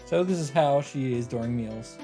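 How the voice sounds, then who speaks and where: A man's voice: mid-range voice, moderate pace, warm tone. A male let's play host with a mid-range voice reads visual novel narration aloud. His delivery is casual, with offhand asides, and game music plays behind him.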